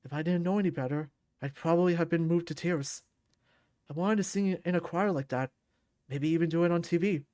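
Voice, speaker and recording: A woman's voice: on the low side, a little fast, warm tone, dry recording, clean studio sound, pitched below the performer's own voice, lightly acted. A woman voicing a brooding, guilt-ridden young male fighter in a visual novel, subdued, introspective and bitterly wry. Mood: bitter, wistful.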